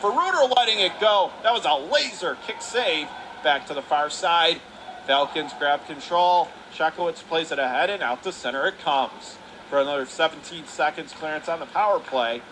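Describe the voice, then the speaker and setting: Male hockey play-by-play caller, higher register, urgent clipped delivery, noisy live arena sound. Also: sharp tone. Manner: urgent calls of the action in clipped phrases. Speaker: radio play-by-play hockey announcer. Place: indoor ice hockey arena. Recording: clearly noisy live rink recording.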